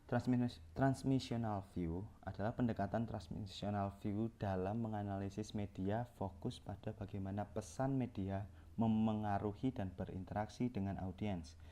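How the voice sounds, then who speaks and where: A man's voice: fairly low pitch, steady tone, moderate pace, warm tone. A young man, a communication student, reading a course presentation aloud and often stopping to correct himself mid-word. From Central Java, Indonesia. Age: young adult. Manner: reads from notes with frequent self-corrections and restarts.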